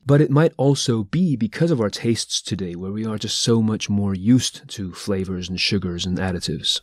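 A man hosts a podcast interview in a slightly low voice. His phrasing is measured, articulate and reflective, and the recording is very dry, with no room echo.

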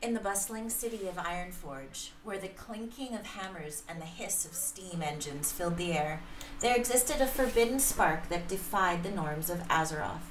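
A woman's voice: lowish voice, animated, moderate pace. A middle-aged woman reading a romance story aloud, carefully but with occasional stumbles over words. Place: home room, indoors, at a desk. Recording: roomy sound, faint background noise.

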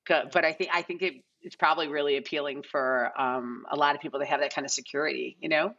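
A woman's voice: low-pitched, steady tone, quick pace, a bit bright, breathy edge, lo-fi sound. A middle-aged woman speaking casually as an interview guest, thinking aloud and repeating phrases as she explains. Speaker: casting director, podcast guest. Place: bright living room at home, indoors.

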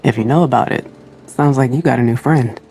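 A woman's voice: extremely low pitch, a little fast, warm tone, slight background noise, rough recording. An actress playing a shrewd female underground ally in a video game, with a laid-back, knowing, teasing manner.

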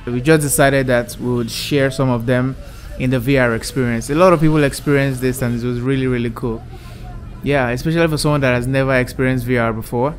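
A man's voice: mid-range voice, a little hiss or hum. A young man hosting his own channel, giving a casual, enthusiastic walkthrough of a VR art gallery he built, recorded on earbuds indoors. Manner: relaxed, enthusiastic, repeats "really cool". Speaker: YouTube creator. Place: indoor room, wearing VR headset. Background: soft background music.

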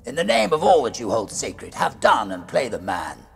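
Man playing a firm, gravelly vampire fighter. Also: medium pitch, very animated, moderate pace, soft background music, voice pushed up. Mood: commanding. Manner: loud, firm, commanding. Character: resolute church restorer defying a vampire.

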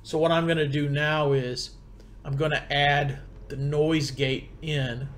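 A middle-aged man giving a casual step-by-step gear tutorial in a halting, think-aloud way, recorded at a home desk on a desktop mic about two feet away. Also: fairly high pitch, level tone, a bit bright, slight background noise. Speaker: tech tutorial presenter. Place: home computer desk in a wood-panelled room. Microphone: desktop condenser mic about two feet away.

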